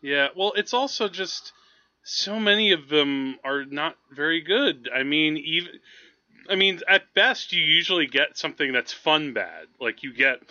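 A man co-hosting a podcast in casual conversation, animated and often feeling his way through a thought. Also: a little high, a little slow, no echo, dull audio. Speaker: podcast co-host. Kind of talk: casual movie chat.

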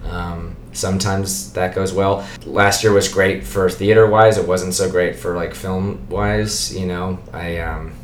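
Man, from Wyoming, low voice, easygoing, echoey room, faint noise. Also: steady tone, a little slow, hardly any pauses. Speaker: podcast guest host. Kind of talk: casual chat.

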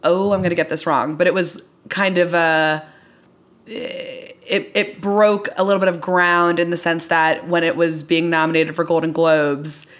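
A woman's voice: lowish voice, little pitch movement, unhurried. A young American woman hosting a podcast in casual, conversational chat with playfully formal turns of phrase. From Florida, US. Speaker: podcast host. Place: in a studio.

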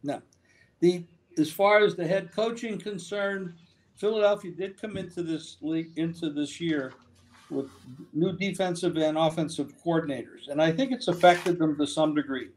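Measured man giving football opinions. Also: fairly high pitch, darkish tone, a little hiss or hum, rough recording. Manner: measured, thinking aloud with many pauses. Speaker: football analyst. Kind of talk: sports betting talk.

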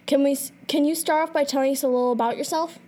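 High-voiced young woman interviewer. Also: steady tone, fast talker, a little mellow. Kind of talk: reading prepared interview questions.

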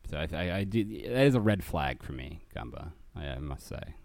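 A man's voice: extremely low pitch, big pitch swings, creaky, darkish tone, a little hiss or hum. A man hosting a podcast, chatting casually and wryly about a sitcom episode, rambling and correcting himself as he goes. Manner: rambling, wry, quick to self-correct. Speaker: podcast host. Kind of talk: casual comedic recap chat.

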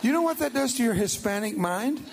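A man's voice: extremely high pitch, animated, brisk, gravelly, noisy background. An older man whose first language was Spanish, giving a folksy, emphatic church testimony as a guest speaker.

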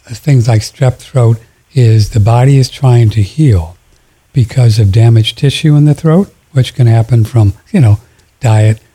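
Husky male radio host, low dark voice, easygoing call-in chat. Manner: relaxed, friendly, gives home remedies.

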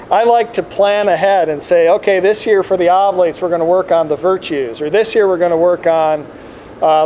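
A man giving an informal religious talk to a gathering as a priest, reflective and halting, speaking up to be heard. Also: high-pitched, warm tone, low-quality recording. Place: indoor room with air conditioning. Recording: clear background air conditioner noise. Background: air conditioner noise.

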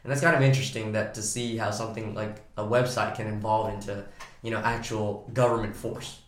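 Brief: male podcast host, raspy cold-worn voice, talkative and joking, echoey room. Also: on the low side, flat delivery, a little fast. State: hoarse from a cold. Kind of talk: casual podcast chat.

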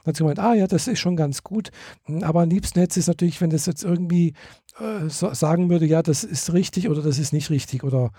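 A man's voice: on the high side, fast, mellow, breathy edge, no echo, clean studio sound. A man, chatting casually and hesitantly, with many fillers and restarts. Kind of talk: casual chat.

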